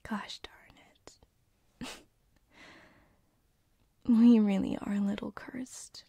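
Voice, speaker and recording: A woman voicing a gentle, lovesick succubus sleep paralysis demon in a whispered ASMR roleplay, soft, hesitant and tender, close to a binaural microphone. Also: fairly high pitch, no echo, exaggerated voice. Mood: wistful, shy. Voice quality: breathy whisper. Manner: soft, hesitant, tender, with breathy giggles and sighs.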